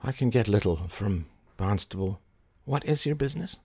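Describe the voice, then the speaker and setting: A man's voice: on the high side, expressive, a little slow, warm tone, no room sound, voice pushed up. Man playing a weary older asylum master. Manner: weary, formal.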